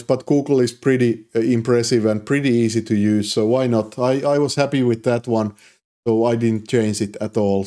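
Relaxed Finnish-accented man explaining code. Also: mid-range voice. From Finland. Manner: relaxed, explanatory, frequent "uh" fillers. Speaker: programming YouTuber. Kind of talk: casual screen-recorded coding tutorial. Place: home office, indoors.

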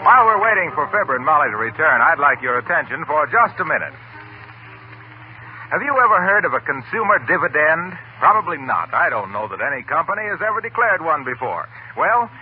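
An American man delivering a polished, scripted sales pitch as a 1940s radio announcer. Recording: tinny 1940s radio broadcast, faint noise.

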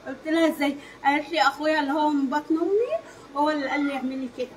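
High-pitched middle-aged Egyptian woman, talking fast. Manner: emphatic, animated storytelling with quoted dialogue. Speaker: neighbour giving eyewitness account. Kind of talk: street interview testimony. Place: outdoors against a brick wall in a street. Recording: faint outdoor background noise.

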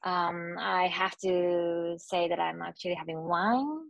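Japanese woman speaking English haltingly. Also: fairly low pitch, vocal fry, no room sound, dull audio. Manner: halting, searching for words with many restarts. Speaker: panelist. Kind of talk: panel discussion over video call. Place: small room indoors. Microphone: earbuds on a video call.

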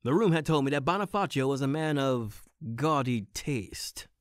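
Man acting an earnest, expressive private detective. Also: mid-range voice, normal speed, no echo, clean studio sound, natural voice. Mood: determined. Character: stunned, earnest male private detective narrating his case. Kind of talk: noir-parody audio drama acting.

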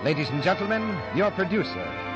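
A man's voice: high voice, huge pitch swings, brisk. A man announcing in a formal, proclaiming 1940s radio style. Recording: muffled vintage radio broadcast, music behind.

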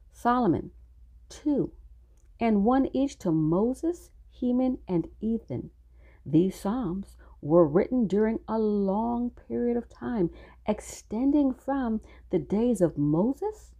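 Dark-voiced woman teaching scripture. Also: medium pitch. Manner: earnest, instructive, addresses listeners directly. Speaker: Bible study teacher.

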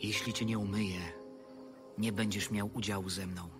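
An actor playing Jesus as a calm, grave teacher in a dramatized audio Bible, measured and solemn. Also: male voice, fairly low pitch, lightly acted. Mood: solemn, gentle. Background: music.

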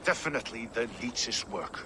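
A man's voice: medium pitch, lively intonation, moderate pace, background noise, lightly acted. A man playing a world-weary warrior in a video game, plain-spoken and a little wry. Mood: grim. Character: grim, world-weary male Viking-age city warrior. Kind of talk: video game cutscene acting.

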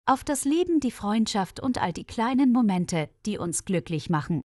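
A woman voicing a friendly, sociable female nurse in a storybook, with earnest, clear delivery. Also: medium pitch, big pitch swings, normal speed, a little mellow, dry recording, studio quality, close to an everyday voice. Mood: warm, sociable. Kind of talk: storybook dialogue reading.

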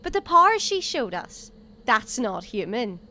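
Actress as high, lively, blunt companion. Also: female voice, a little slow, a little hiss or hum, full character voice. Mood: questioning. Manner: informal, exasperated, questioning. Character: blunt, sceptical young companion of a time traveller. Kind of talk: audio drama acting.

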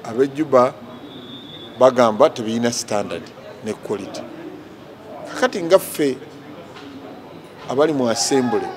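A man answers reporters outdoors, held microphones close to him, in a forceful, explanatory way. A crowd murmurs clearly behind him. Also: mid-range voice. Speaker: legislator. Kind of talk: press interview. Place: outdoors by a stone wall. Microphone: handheld reporter microphones.

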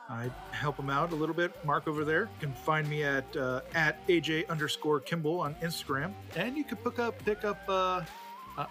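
A man with a somewhat high, bright voice talks casually as a tabletop player. Faint music runs underneath. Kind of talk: casual chat.

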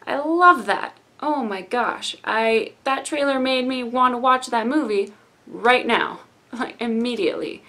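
Hoarse young woman gushing on webcam. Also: a little high, a little slow, bright, forceful tone. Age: young adult. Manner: chatty and gushing. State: hoarse, losing her voice. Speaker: YouTube reaction host. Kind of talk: casual trailer reaction. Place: indoor room with bookshelves. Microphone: webcam at desk.

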